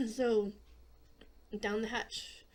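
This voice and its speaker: A hoarse woman hosting a casual gaming video, chatting in a rambling way and often clearing her throat. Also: fairly high pitch, drawn-out words. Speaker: gaming channel host.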